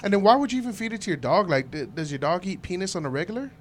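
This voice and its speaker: A man's voice: high voice, animated, very fast, a little hiss or hum. A man co-hosting a casual podcast, laughing often and trading crude, teasing banter. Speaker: podcast co-host. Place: home recording studio.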